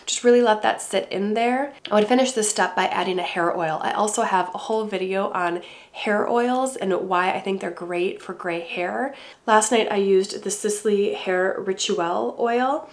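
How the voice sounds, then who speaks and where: A middle-aged woman with a congested voice from a cold, calmly explaining her hair care routine to camera at home. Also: medium pitch, normal speed. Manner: calm, gentle, explaining step by step. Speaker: beauty vlogger. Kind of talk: casual talk to camera. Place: home room indoors.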